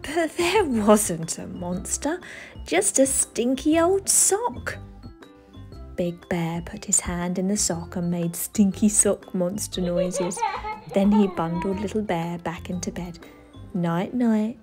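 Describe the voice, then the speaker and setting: A woman storyteller reading a children's bedtime story aloud, expressive, with dramatic emphasis. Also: mid-range voice, takes it slowly, faint music behind. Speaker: storyteller, channel host.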